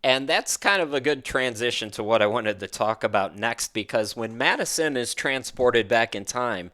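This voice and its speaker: A man's voice: mid-range voice, lively intonation, normal speed, pushed and bright. A man hosting a casual interview, feeling his way through his questions in a slightly hesitant, conversational manner. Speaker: interview host.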